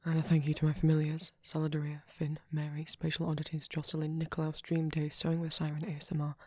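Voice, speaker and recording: A man who makes ASMR audio reads his channel outro softly. His pitch stays fairly flat and his voice is breathy and husky. The sound is dry and close, with the steady rhythm of someone reading a list. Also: quick pace, dry recording. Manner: soft-spoken, list-like reading.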